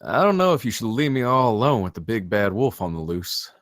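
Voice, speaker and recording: An actor playing an embarrassed, low-key grown son on a date, understated and sheepish, in a script read. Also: male voice, sing-song, brisk, fairly bright tone, no echo, clean studio sound. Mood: wry, easygoing. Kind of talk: table read of a film script.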